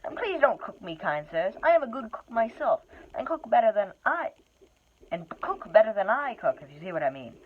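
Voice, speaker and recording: A woman's voice: mid-range voice, sing-song, normal speed, a little hiss or hum, voice pushed up, close to an everyday voice. A woman voicing a small, frightened male hobbit who pleads in a polite way. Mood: pleading. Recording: tinny phone-like sound.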